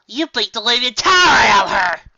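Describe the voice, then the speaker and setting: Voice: medium pitch, animated, moderate pace, dull audio, pitched above the performer's own voice. A reader voicing a resentful young filly apparition who bitterly accuses her sister, in a storybook reading. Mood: bitter accusing. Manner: bitter, accusing, rising to shouts.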